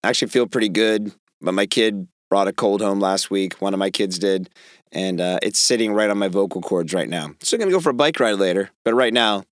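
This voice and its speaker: A middle-aged man with a raspy voice hoarse from a cold, hosting an opinionated outdoor podcast with emphatic, wry delivery. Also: lowish voice, a little fast, no room sound, clean studio sound. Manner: emphatic and wry, lively rises on key words. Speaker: podcast host.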